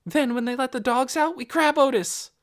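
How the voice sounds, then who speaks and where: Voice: high voice, moderate pace, pitched above the performer's own voice, lightly acted. A narrator voicing an eager, anxious young girl in an audiobook, urgent and pleading.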